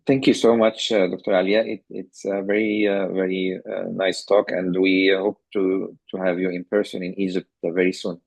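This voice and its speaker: Low gravelly guy hosting a webinar, kinda husky, keeps repeating himself. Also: level tone, a little mellow, dry recording, low-quality recording. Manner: halting, repeats words. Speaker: webinar host. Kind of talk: moderating a webinar. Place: video call.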